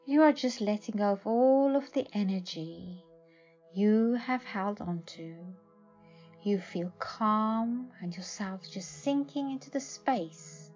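A woman narrating a guided meditation in a soothing, measured way with long pauses. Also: medium pitch, a little mellow, dull audio. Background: faint music.

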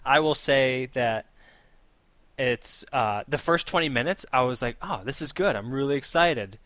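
A man's voice: medium pitch, lively intonation, normal speed, fairly bright tone, dry recording. A young man cohosting a gaming podcast, teasing the others and steering the talk to new topics. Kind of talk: casual gaming podcast chat.

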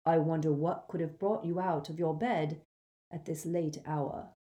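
A woman reading aloud, voicing a cold, menacing male professor who speaks in a slow, silky, measured way. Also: low voice, steady tone, mellow. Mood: silky, suspicious.

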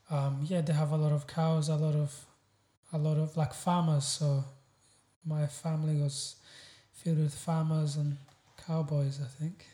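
A man's voice: a little high, dark tone. A man with an East African accent, chatting casually as a guest on a podcast. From Uganda. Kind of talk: casual chat. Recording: roomy echo.

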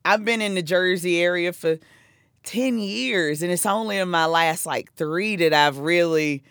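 Agreeable Southern US man, higher-pitched voice. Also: measured pace, creaky, a bit bright, clean tone, no room sound, clean studio sound. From South Carolina, United States. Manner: agreeable, chuckles often. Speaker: podcast host. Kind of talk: casual chat.

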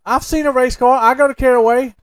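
A man's voice: very high voice, steady tone, fast, crowd noise. A man with a Southern US accent co-hosting in casual, teasing banter, needling and repeating himself for emphasis. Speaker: podcast co-host.

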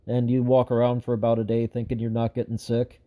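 A man hosting a show gives an unscripted update to viewers, rambling and frustrated, with lots of fillers and restarts, and he sounds slightly hoarse. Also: medium pitch, monotone, a little fast, dark tone. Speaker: show host giving an update.

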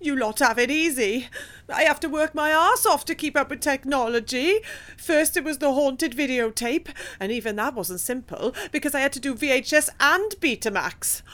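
A woman's voice: high voice, moderate pace, a bit bright. An actress with a British accent playing a female ghost from a haunted videotape who grumbles and complains. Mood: exasperated. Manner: moaning, exasperated complaining.

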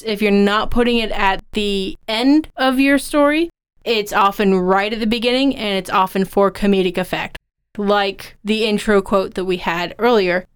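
A woman's voice: medium pitch, clear, resonant tone. A woman co-hosting a podcast, discussing and explaining in a conversational style. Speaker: podcast co-host.

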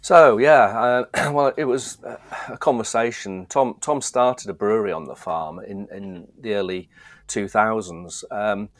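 Middle-aged guest, very low voice, dry close sound, loose rambling delivery. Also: male voice, unhurried, dry recording. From Yorkshire, England. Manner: easygoing, rambling, frequent restarts. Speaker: guest, distillery co-founder. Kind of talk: casual interview chat.

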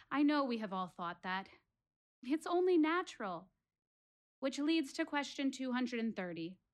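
An actress playing a candid, wry, exasperated woman presenting life's questions in a theatrical one-woman audio play, addressing her audience emphatically with dramatic pauses. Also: high-pitched.

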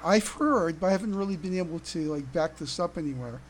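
A woman chatting casually as a fan podcast co-host, with a halting, stammering delivery. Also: fairly low pitch, lively intonation, slight background noise. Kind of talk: casual fan chat with trivia.

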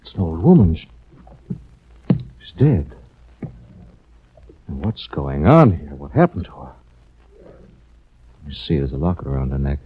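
An actor playing an earnest, agitated young gentleman sleuth in 1940s radio drama style. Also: extremely low pitch, animated, measured pace, pauses often, husky, full character voice. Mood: shocked, muttering. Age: young adult. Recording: muffled, noisy 1940s radio recording.

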